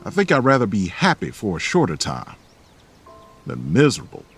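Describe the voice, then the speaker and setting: A man acting a calm, weary, caring companion who speaks softly and coaxes others, in an audio drama. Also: fairly low pitch, moderate pace, vocal fry, breathy edge, faint music behind, full character voice. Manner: soft, coaxing, gentle. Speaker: Silver.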